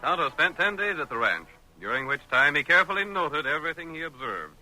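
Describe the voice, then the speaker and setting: A man's voice: a little high, sing-song, fairly quick, over music, rough recording. A man narrating an old-time radio drama as its announcer, in a dramatic, formal scripted style. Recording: vintage radio broadcast recording.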